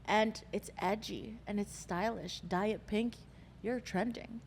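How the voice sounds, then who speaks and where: A woman hosting a casual podcast interview, lively and familiar with her guest. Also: mid-range voice, unhurried, big-room sound, a little hiss or hum. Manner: lively, familiar, calls guest girl and sis. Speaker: host.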